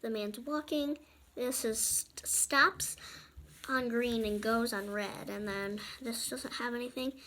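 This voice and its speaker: Young female child reader, high voice, chatty picture-book read-aloud with asides, noisy home recording. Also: fairly even intonation, slow pace, pushed and bright. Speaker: storybook reader and channel presenter.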